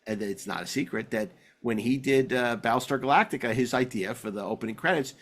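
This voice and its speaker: A man's voice: medium pitch, fairly quick, fairly bright tone. Male podcast host, ordinary mid-range voice, casual and chatty, talks like a keen fan. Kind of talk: casual chat.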